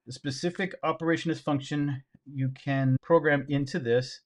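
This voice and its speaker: A man's voice: fairly high pitch, fairly even intonation, normal speed. A man giving a casual product review and demonstration, talking informally to viewers as he handles the gear.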